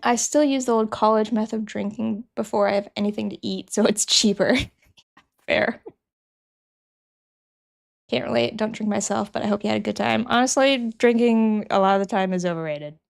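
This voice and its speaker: A woman's voice: mid-range voice, normal speed, gravelly. A young woman streamer who is sick and sniffling, chatting casually with her chat and thinking aloud as she works.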